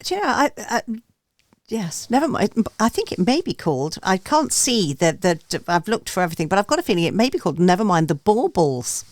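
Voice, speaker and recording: A woman presents a music show with a casual, chatty manner, wandering off on small digressions. Her voice sits at a medium pitch and the sound is dry, with very little room in it. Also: sing-song, brisk, no echo. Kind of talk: casual radio show chat.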